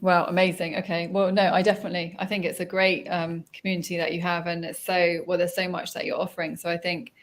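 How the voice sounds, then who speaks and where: A woman with a fairly low voice hosts a relaxed interview. She affirms her guest often and keeps her talking with warm follow-up questions. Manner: encouraging, quick to affirm. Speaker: interviewer, host. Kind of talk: casual interview chat.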